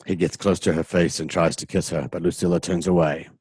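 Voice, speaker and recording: A man's voice: sits low, steady tone, fairly quick, a little mellow, breathy edge, no room sound. A man narrating a radio play from a script in an informal, dramatic way, with casual asides.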